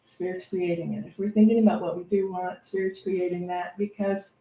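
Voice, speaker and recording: A woman's voice: on the low side, fairly even intonation, warm tone. Echoey, conversational woman reverend, slightly distant. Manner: reflective, anecdotal, halting with repeated phrases. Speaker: reverend giving a spiritual talk. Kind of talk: conversational, unscripted inspirational talk. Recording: echoey room, distant microphone.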